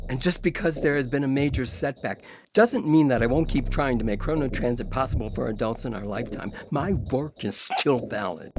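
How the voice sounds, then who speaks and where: Gentle, hopeful scientist husband, acted by a man. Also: medium pitch, lightly acted. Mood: reassuring. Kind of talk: audio fiction dramatic reading.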